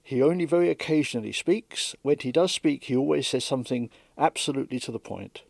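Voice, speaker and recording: A man giving measured, explanatory interview commentary as a radio dramatist, close to the microphone. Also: mid-range voice, gravelly, no room sound.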